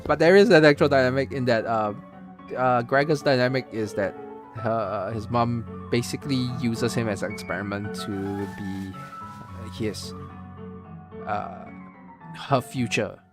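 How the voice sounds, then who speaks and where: A man's voice: mid-range voice, fairly even intonation, a little slow, music in the background. A man from Singapore giving casual live-stream commentary on a game's story, thinking aloud with lots of fillers and restarts. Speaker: streamer reacting to a game story.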